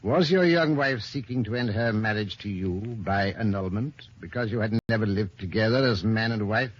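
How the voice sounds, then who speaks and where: An unflappable inspector in an old radio mystery. His low, somewhat rough-edged voice questions with dry, shrewd authority. The sound is dull, like a vintage broadcast. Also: normal speed. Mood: pressing. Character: shrewd, probing, unflappable male police inspector. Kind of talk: old-time radio detective drama acting.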